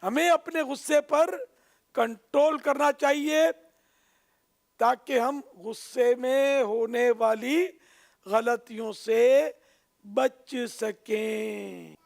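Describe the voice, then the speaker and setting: A man telling a children's moral story in an expressive way, addressing his young listeners directly and drawing out phrase endings for emphasis. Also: very high-pitched, very slow, gravelly.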